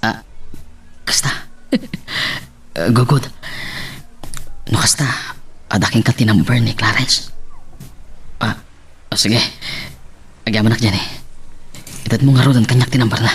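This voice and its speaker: A man's voice: lowish voice, unhurried, long pauses, soft background music. A man acting an evasive married man and sheepish son in an Ilocano audio drama. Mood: casual.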